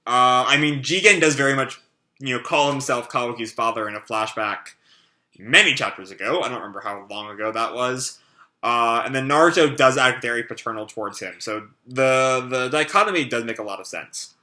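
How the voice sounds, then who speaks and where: A young man with a hoarse, dry voice, chatting and commenting while reading a comic aloud, with many fillers. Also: medium pitch, fairly quick, pushed and bright. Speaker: comic reaction host. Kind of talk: live manga reading and commentary.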